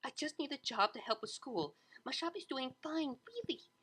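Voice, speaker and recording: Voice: animated, normal speed, sharp tone, breathy edge, heavily acted. A narrator voicing a nervous, chatty young mare waitress in a story, anxious and rambling. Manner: anxious, rambling, high-strung.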